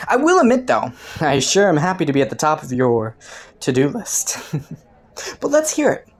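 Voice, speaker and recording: A slightly low-pitched woman voice actor as a criminal from the underworld, flirting with and taunting a detective. The delivery is a playful, teasing drawl, in the style of an intimate roleplay audio drama. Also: huge pitch swings, normal speed, exaggerated voice. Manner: playful, teasing drawl with frequent soft chuckles.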